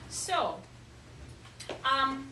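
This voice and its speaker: A woman's voice: high-pitched, sing-song, drawn-out words. A woman teaching young children in a classroom, patiently asking questions and repeating their answers back. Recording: echoey classroom, distant mic, noisy.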